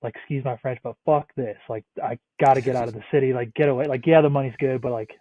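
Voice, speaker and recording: A man's voice: medium pitch, fairly even intonation, fast, no echo. A man of about thirty from the Northeastern United States, chatting casually as a podcast guest, rambling with lots of "like" and some swearing. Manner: rambling, many "like" fillers, swears freely. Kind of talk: casual interview chat. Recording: tinny phone-like remote line, dry.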